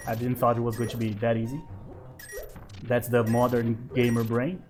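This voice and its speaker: A man's voice: medium pitch, moderate pace. Brazilian guy in his 30s doing laid back lets play commentary, lots of uh's, music faint in the back. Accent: Brazilian Portuguese. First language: Portuguese. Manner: relaxed, thinking aloud with many fillers. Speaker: gaming channel host. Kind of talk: casual let's play commentary.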